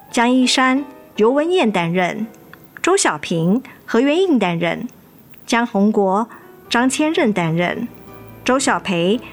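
女播报员，照稿朗读. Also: female voice, on the high side. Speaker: announcer reading drama credits. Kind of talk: scripted narration.

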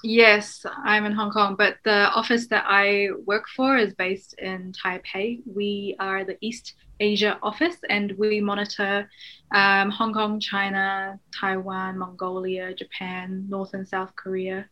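A young woman from New Zealand, a guest chatting casually on a podcast, relaxed and full of ums. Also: medium pitch, measured pace.

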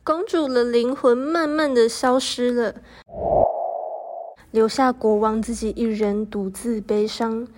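Slow-paced young woman narrator. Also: a little high, steady tone, darkish tone, a little hiss or hum. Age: young adult. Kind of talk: scripted story narration for student radio drama.

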